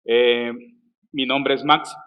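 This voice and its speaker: A man's voice: medium pitch, flat delivery, slow, highs cut off. A man from El Salvador with a Salvadoran Spanish accent mixed with Colombian words, giving a conversational conference talk with frequent fillers and restarts. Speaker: conference speaker. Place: conference stage.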